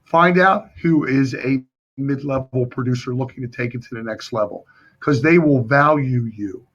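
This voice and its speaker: A hoarse man coaching viewers through a live sales training, speaking emphatically and addressing them directly. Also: mid-range voice, normal speed, fairly bright tone. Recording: dull lo-fi stream audio.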